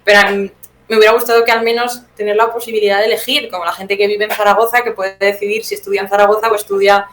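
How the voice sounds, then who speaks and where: A woman's voice: mid-range voice, flat delivery, quick pace, a bit bright. Young Spanish woman in a roomy, noisy recording. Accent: Spanish from Spain. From Teruel, Spain. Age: young adult. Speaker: guest playwright. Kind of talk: conversational interview answers. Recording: roomy echo, clear background noise.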